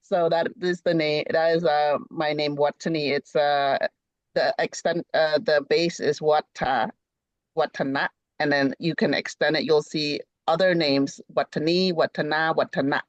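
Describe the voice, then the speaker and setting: A man from Cambodia introduces himself with a wry, hesitant humor. His voice runs somewhat high. The sound is dry and dull, as on a video call. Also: fairly even intonation, unhurried, no echo. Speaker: panelist. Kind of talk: conversational introduction. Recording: muffled video-call audio.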